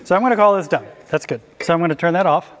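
A man's voice: high voice, very fast, fairly bright tone, a little hiss or hum. A middle-aged man, a chef giving an informal live cooking demonstration in an easygoing, conversational way. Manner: easygoing, conversational, invites questions. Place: indoor hall before a small audience.

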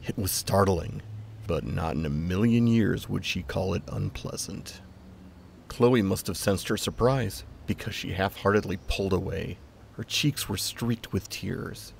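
A man narrates scripted fiction in a low voice. It is heavily gravelled with creak, and a faint ambience sits behind it. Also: darkish tone, a little hiss or hum.

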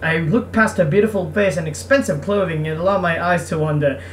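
A woman's voice: on the low side, a little fast. A woman gamer reading a visual novel aloud, breaking off for casual, irreverent, sweary commentary. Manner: irreverent, sweary, self-interrupting. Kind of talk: casual playthrough commentary and narration. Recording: distant mic, faint background noise.

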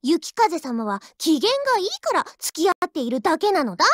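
Haughty little anime ship girl, mobile game. Piping high voice, lively inflection, bratty bragging, dry studio sound. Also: brisk, dry recording. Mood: smug, boastful. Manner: boastful, tsundere, ends sentences with a verbal tic. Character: haughty, childish warship girl with animal ears. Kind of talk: Japanese anime game character voice lines.

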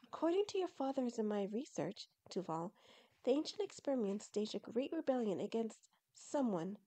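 An anxious, learned mother fox from a storybook, voiced by a woman reader. Her voice is high and mellow, with earnest feeling in the way she talks. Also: very animated, moderate pace. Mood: thoughtful.

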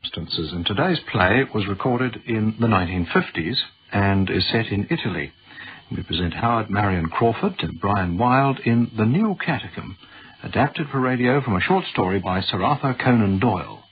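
A man's voice: on the low side, animated, normal speed, slight background noise. A British man giving a formal, scripted radio programme introduction as the announcer. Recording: thin phone-like vintage radio recording.

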